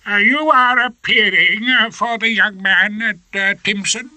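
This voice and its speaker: Posh english judge, way high fluty pitch, fussy and hesitant, sharp, storybook character. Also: male voice, steady tone, measured pace, no room sound, heavily acted. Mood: vague, hesitant. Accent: upper-class English. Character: dithering, pompous upper-class male English judge.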